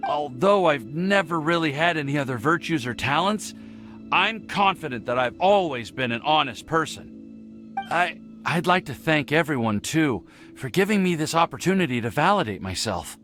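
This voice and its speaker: Casting an earnest, stammering young fellow in a game, animated intonation, bright tone, humble. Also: male voice, on the high side, moderate pace, soft background music. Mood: nervous, sincere. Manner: hesitant, stammering. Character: humble, earnest, nervous honest young man. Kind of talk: English video game voice acting.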